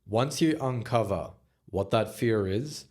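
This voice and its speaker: A man with a fairly low voice hosts his own advice podcast as a communication coach. He talks straight to his listeners in a motivational way. He speeds up and slows down on purpose and lets his volume swell and drop to drive each point home. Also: lively intonation, a little mellow. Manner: emphatic, varies volume and pace for effect; direct, motivational.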